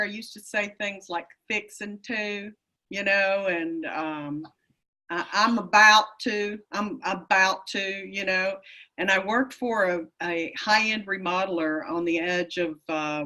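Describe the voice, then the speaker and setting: A woman's voice: fairly low pitch, sharp tone. A woman from Texas with a Texan accent, a real estate coach giving folksy, rambling answers with many restarts in an interview.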